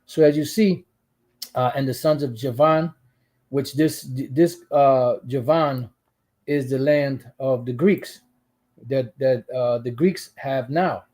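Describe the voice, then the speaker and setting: A man with a soft, dark-toned voice talks through scripture passages as a channel host. He rambles, circling back with asides and restarting his sentences as he reads out verses. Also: medium pitch, slow. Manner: rambling, full of "you know" asides and restarts. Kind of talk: casual religious commentary reading scripture.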